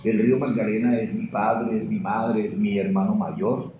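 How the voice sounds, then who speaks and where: A man's voice: on the low side, steady tone, normal speed. A man in his sixties with a Colombian Caribbean accent, answering interview questions in an anecdotal, conversational way. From Caribbean river region of Colombia. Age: 60s. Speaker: interviewee, sociologist and teacher. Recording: muffled, echoey, noisy room recording.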